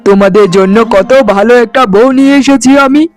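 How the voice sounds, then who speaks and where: A man's voice: sits high, a little fast, close to an everyday voice. A man voicing a proud young husband and devoted son in a fairy-tale cartoon. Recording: sitar music under the voice.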